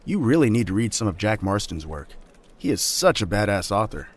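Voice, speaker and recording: Male actor as teasing young man, rough-edged, casual, low pitch, lively intonation, audio drama. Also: a little fast. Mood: easygoing. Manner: casual, joking, swears freely.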